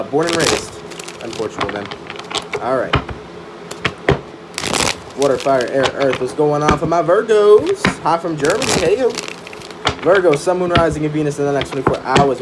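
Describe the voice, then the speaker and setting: A man giving a casual, chatty live-streamed tarot reading, full of fillers and "right?" tag questions. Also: fairly high pitch. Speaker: tarot reader. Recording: steady background noise.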